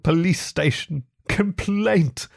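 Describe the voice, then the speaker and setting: A man's voice: high-pitched, slow pace, creaky, no room sound, studio quality, voice pushed up, exaggerated voice. A man voicing a besotted storybook toad, murmuring rhapsodically and drawing out his words in dreamy raptures. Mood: dreamy, rapturous. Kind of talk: storybook character reading.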